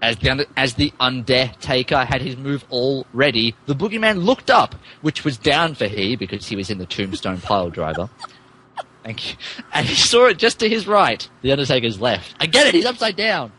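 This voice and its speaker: A man reads fan fiction as a podcast narrator with mock drama. His voice is forceful and very animated. He is amused and stumbles over words, with faint noise behind him. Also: mid-range voice, moderate pace.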